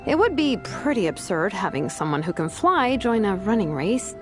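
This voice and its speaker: Casting: sensible female squad leader played by a woman, fairly high animated voice, practical, anime game with music behind. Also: moderate pace, natural voice. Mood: considerate, practical. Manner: practical, instructing. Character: sensible, responsible female team leader, considerate trainer. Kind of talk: anime game character acting.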